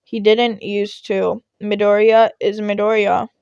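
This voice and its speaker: A woman voicing a tired, grumpy male teacher with a deadpan delivery in a fan fiction reading. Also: mid-range voice, unhurried, gravelly, smooth, no room sound, dull audio. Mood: resigned.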